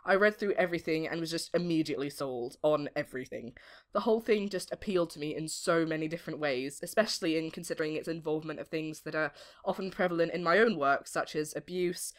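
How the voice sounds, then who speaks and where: A British woman in her late teens giving articulate, formally worded interview answers as a voice actor. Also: lowish voice. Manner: articulate, formal wordy phrasing.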